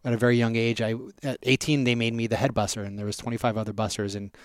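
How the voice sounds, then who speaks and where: Measured man, dry close sound. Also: medium pitch, flat delivery, clear, resonant tone, no room sound. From Southern California, raised in Las Vegas. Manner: measured, frequent restarts and "you know". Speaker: restaurant group president. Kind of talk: conversational interview answers.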